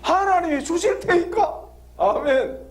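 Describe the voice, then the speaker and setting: A man preaching a lively sermon, laughing often and drawing call-and-response answers from the congregation with frequent questions. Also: very high-pitched, a little slow. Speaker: pastor. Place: church hall with congregation.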